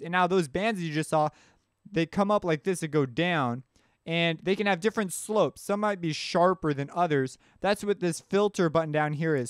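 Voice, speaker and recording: A man's voice: on the high side, clean tone, no room sound, clean studio sound. A man giving a casual, informal tutorial, explaining things as he thinks aloud. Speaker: music production tutorial instructor. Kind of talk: casual explanatory screencast narration.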